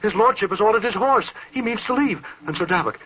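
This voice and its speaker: An actor playing an urgent, agitated servant of a Scottish lord in an old radio horror drama. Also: male voice, high-pitched, lively intonation, fast talker. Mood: alarmed, urgent. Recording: muffled old radio with clear hiss.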